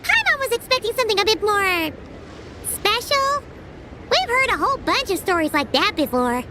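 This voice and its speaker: A woman voicing a tiny, excitable female companion who chirps along and speaks of herself in the third person. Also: normal speed, very noisy. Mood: chirpy. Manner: bubbly, squeaky, talks about herself in third person. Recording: game audio with faint music.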